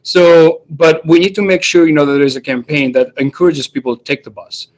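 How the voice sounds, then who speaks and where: A man's voice: fairly high pitch, level tone, fairly quick, darkish tone, low-quality recording. A man from Greece, giving a chatty answer full of fillers as a mayoral candidate on a video call.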